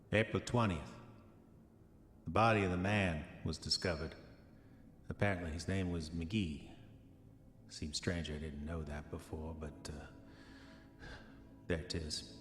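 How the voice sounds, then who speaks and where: An actor playing an arrogant, dissolute diarist of the 1910s, speaking formal old-fashioned entries in a pompous manner for a horror audio drama. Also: male voice, sits low, fairly bright tone. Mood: uneasy. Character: arrogant, dissolute 1910s male diarist, self-satisfied, increasingly haunted. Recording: echoey long reverb, music underneath. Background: music.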